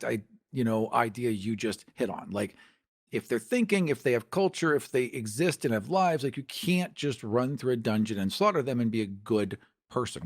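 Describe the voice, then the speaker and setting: A man hosting a casual tabletop gaming discussion, emphatic and given to rhetorical questions. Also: medium pitch. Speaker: podcast host.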